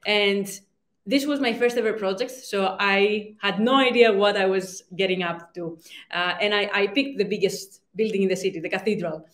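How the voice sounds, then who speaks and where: A woman's voice: medium pitch, moderate pace, a bit bright. A young woman from Greece, a startup founder giving a conference talk, hesitant, with many ums and restarts. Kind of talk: conference presentation. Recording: roomy reverb.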